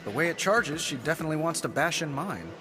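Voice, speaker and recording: Give me a man doing a sarcastic genius hero with an animated, higher voice for a game. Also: fast talker, over loud music, close to an everyday voice. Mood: dry. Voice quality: quippy, dry sarcasm. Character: witty confident male genius inventor hero. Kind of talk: video game voice acting.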